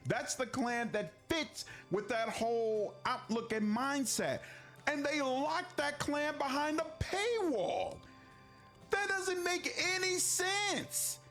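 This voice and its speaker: A man's voice: very high-pitched, fairly bright tone. A man giving video commentary on a game, indignant and emphatic, repeating himself for stress. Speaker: gaming commentator in a played clip.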